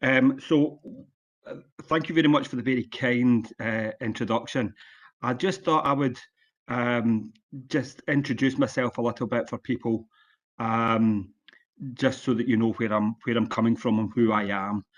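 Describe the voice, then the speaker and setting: A Scottish man in his sixties giving an online conference lecture as a guest speaker, plain-spoken and relaxed, with frequent ums and uhs. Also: medium pitch, normal speed, muffled recording. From Scotland. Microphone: video call.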